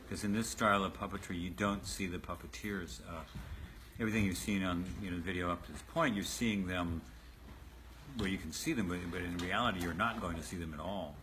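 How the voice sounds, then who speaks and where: A man's voice: sits low, a little fast, fairly bright tone, poor audio. A man talking behind the scenes as a puppet show director, explaining things in loose, hands-on everyday terms. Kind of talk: behind-the-scenes interview talk. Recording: clear background noise, rehearsal room ambience.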